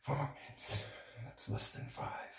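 A man in his early twenties, sitting in cold water, talking to himself in halting, breathy whispers while he shivers. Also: far from the mic. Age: early 20s. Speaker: vlogger. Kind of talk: shivering self-talk vlog. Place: small bathroom, sitting in a bathtub. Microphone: phone camera held close. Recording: echoey bathroom, noisy phone audio.

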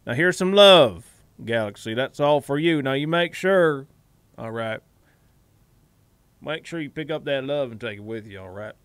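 A man's voice: mid-range voice, lively intonation, moderate pace, pauses often, a bit bright, clear, resonant tone, no echo. A man livestreaming a game in casual, rambling chat, repeating phrases and swearing freely, with a put-on folksy hillbilly drawl.

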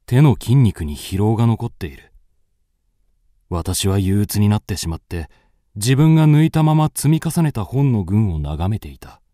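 A man's voice: fairly low pitch, animated, normal speed, mellow, dry recording, studio quality, lightly acted. An actor playing a moody, melancholic young man with restless fancies, narrating a literary radio drama in the first person. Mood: gloomy, weary.

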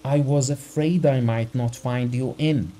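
A man reading aloud and voicing a frantic middle-aged gentleman who pleads breathlessly for help. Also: mid-range voice, fairly even intonation, measured pace, soft background music, pitched above the performer's own voice, natural voice.

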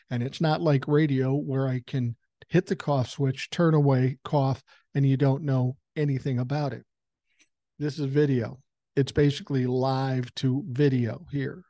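A man in his sixties with a cold talks straight into a close foam-covered mic, and the sound is dry with no room echo. His voice is mellow and mid-pitched, and he talks in a blunt, conversational way with casual swearing. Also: fairly even intonation, measured pace. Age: 60s. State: has a common cold. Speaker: podcast host. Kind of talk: casual political commentary monologue. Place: home room with a couch behind him. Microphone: large foam-covered dynamic mic close to the mouth.

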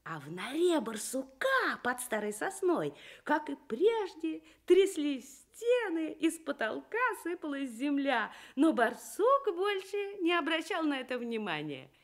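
A woman narrating a children's story in an expressive, theatrical manner with deliberate phrasing. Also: high voice.

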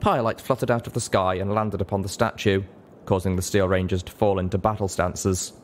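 Low-pitched man narrating a story. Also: fairly even intonation, brisk, slight background noise. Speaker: audiobook-style reader, show host.

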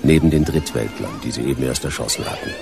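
An actor playing a world-weary hard-boiled detective in a radio drama, laconic and deadpan. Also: male voice, extremely low pitch, fast, creaky, music in the background. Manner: laconic, deadpan, clipped sentences. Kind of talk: German radio drama narration and dialogue.

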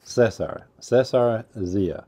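Deep, mellow older man, rambling host. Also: slow pace. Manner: rambling, repeats and restarts himself. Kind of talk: casual interview. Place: covered outdoor patio by a fireplace.

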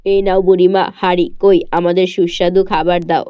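Woman playing gullible young boy, hungry, wistful, pitched down, cartoon fairy tale, slightly dull sound. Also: little pitch movement, normal speed, smooth, dry recording, close to an everyday voice. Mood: eager.

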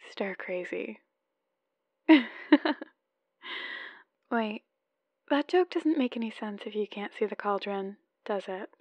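A woman's voice: fairly high pitch, no echo, lo-fi sound. A woman playing an adorkable, lovesick, playful newlywed wife leaving chatty voicemails in an ASMR roleplay. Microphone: close microphone, dry.

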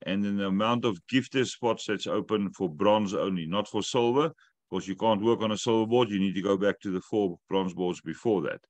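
A middle-aged man, not a native English speaker, talks through a video call from home in a fairly low, dry-sounding voice. He jokes with the group and then explains the steps at length. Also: brisk, dry recording. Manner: talkative, joking, instructive. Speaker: host of a weekly update call. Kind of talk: casual video call chat. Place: room at home.

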